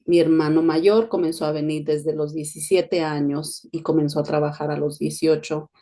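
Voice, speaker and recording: A middle-aged Mexican-born woman speaks in a neutral Spanish accent, her voice low and warm. She talks in a steady, flat-toned, reflective way, like a host sharing her story on a podcast. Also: moderate pace. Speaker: podcast host, education consultant. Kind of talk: personal monologue podcast.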